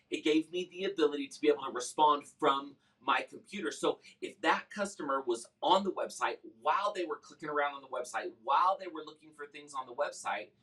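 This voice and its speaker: A man's voice: a little high, sharp tone, far from the mic, rough recording. A man talking casually and conversationally as a customer, explaining his experience in a product testimonial. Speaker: software customer giving a review.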